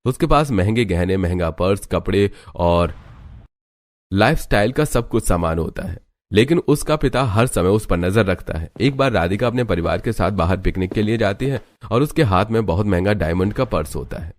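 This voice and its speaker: A man's voice: lowish voice, lively intonation, normal speed, no echo, clean studio sound. A man narrating a scripted moral story as a storyteller.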